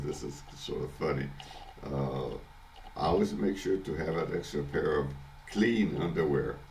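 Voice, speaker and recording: Older man, hoarse, congested, extremely deep voice, slow halting talk, casual home video diary. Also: a little mellow, slight background noise. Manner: halting, many restarts and fillers, chuckles often. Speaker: vlogger. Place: at home, early morning.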